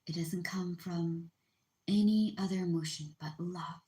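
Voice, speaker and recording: A woman gently comforting viewers in a livestream reading, speaking softly and unhurried, often close to a whisper. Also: on the low side, fairly even intonation, roomy sound. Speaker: spiritual reader on a livestream.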